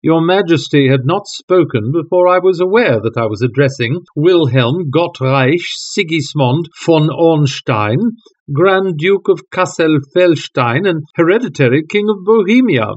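Cool sardonic detective voiced by a man, dry murmur, somewhat high voice, dull lo-fi audio. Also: expressive, a little slow. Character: dry, languid male detective, coolly sardonic. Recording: dull lo-fi recording.

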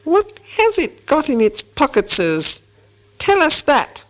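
A storybook reader voicing a wretched, obsessive cave creature, suspicious and whining, with a hissing screech and drawn-out, broken speech. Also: high voice, huge pitch swings, fairly bright tone, voice pushed up, exaggerated voice. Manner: whining, drawn-out words, broken childlike grammar. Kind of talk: storybook character voice.

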